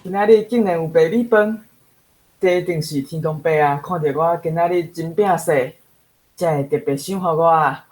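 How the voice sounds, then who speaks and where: A man's voice: on the high side, moderate pace, darkish tone, slight background noise, lightly acted, low-quality recording. A man with a Taiwanese accent playing a ravenous schoolboy in a radio drama, exclaiming to himself. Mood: delighted. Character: ravenous, self-pleased schoolboy, older brother.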